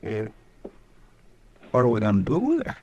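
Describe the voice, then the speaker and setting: An eager frontier sidekick in an old radio western. His voice sits at a middling pitch, and he talks in a plain, questioning way. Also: huge pitch swings, moderate pace, dark tone, dull audio, a little hiss or hum. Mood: astonished, puzzled.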